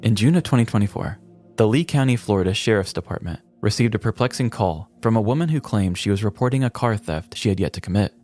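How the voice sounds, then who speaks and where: A man host narrating a scripted comedic crime story with a wry, deadpan delivery. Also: on the low side, fast, faint music behind.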